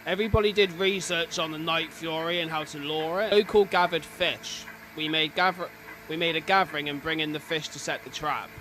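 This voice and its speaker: A man's voice: a little high, fairly quick, bright, forceful tone, slight background noise, low-quality recording. A British man doing informal live reaction commentary as a streamer, reading captions aloud and adding chatty asides. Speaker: streamer reacting to horror videos.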